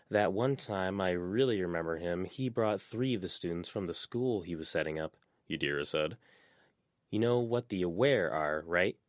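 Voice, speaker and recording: A man voicing a talkative, sharp-tongued teenage girl who tells stories bluntly. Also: low-pitched, no room sound, studio quality. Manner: chatty, blunt storytelling.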